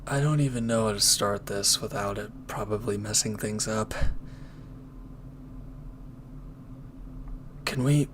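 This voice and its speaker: A man playing a former villain turned hesitant, conflicted lover, speaking earnestly and haltingly in an intimate audio roleplay. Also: lowish voice, slight background noise. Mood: hesitant, anxious.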